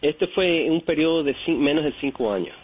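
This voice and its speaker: A man from New York calling in to a radio show, telling his story haltingly with many fillers and restarts. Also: a little high, husky, soft background music. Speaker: caller. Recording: tinny phone line.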